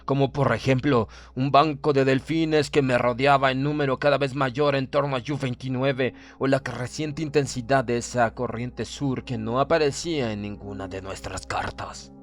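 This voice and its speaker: A man reading a horror story as a dramatic audiobook narrator, in the role of a stern German submarine officer, with measured, theatrical delivery. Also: medium pitch, fairly even intonation. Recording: narration over clear background music. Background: music.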